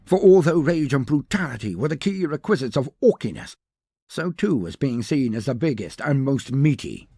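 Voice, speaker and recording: A man's voice: mid-range voice, sing-song, normal speed, dark tone, breathy edge, no echo, clean studio sound. A man narrating a scripted story in a dramatic, grandiose, theatrical manner.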